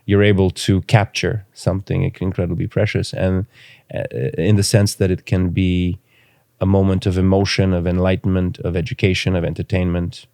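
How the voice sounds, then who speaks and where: Low, even-toned Romanian-born man, smooth voice. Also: no room sound, studio quality. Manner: thoughtful, halting, pauses to choose words. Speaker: podcast guest, filmmaker. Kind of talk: reflective interview conversation.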